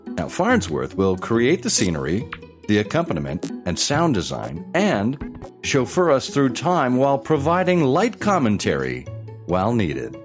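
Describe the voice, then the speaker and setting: An actor playing a pompous, excitable ghost of an old-time explosives salesman, narrating an audio musical in grandiose, old-fashioned language. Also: mid-range voice, measured pace, music in the background. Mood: grand, jovial.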